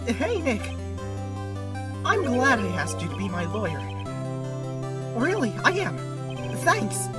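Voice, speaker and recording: A man's voice: extremely high pitch, huge pitch swings, takes it slowly, pauses often, distant mic, loud music behind the voice, heavily acted. A man playing a whiny, melodramatic, lovesick young man in an amateur video game fandub. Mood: sincere.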